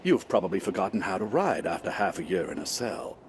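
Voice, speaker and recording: An actor playing a hearty, admiring stable hand in a fantasy video game, effusive and teasing. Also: male voice, sits low, very animated, a little fast, a little hiss or hum.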